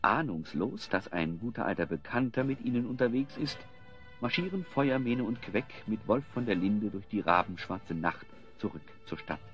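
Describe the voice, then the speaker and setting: Low-voiced guy narrating a radio play, very expressive, slightly muffled with music under it. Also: normal speed. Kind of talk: scripted storytelling narration for an audio drama.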